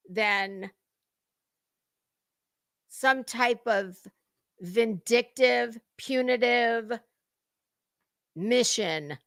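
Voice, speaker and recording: Slow, bright-voiced woman giving casual commentary. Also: a little high, no echo, studio quality. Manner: rambling, halting, emphatic, mildly profane. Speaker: host of a gossip commentary livestream. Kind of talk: casual opinionated commentary to viewers.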